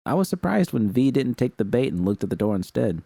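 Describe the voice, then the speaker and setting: A man narrating a first-person fan fiction story in a steady, even way. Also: medium pitch, a little fast, vocal fry, breathy edge, no room sound. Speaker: audiobook reader.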